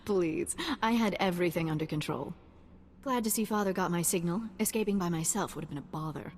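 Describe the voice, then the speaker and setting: Woman acting a cocky low-voiced young spy, echoing hall. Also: fairly quick, lightly acted. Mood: breezy, cocky. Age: young adult. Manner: wry, breezy, teasing. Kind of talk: video game voice acting. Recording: long hall reverb, faint noise.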